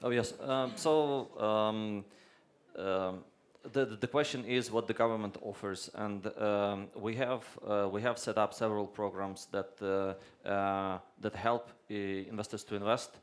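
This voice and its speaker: A man answers questions on a conference panel as a government official. He stumbles and restarts often as he explains. His voice is on the low side. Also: steady tone, a little slow. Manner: hesitant, many restarts and fillers. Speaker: government deputy minister on a panel.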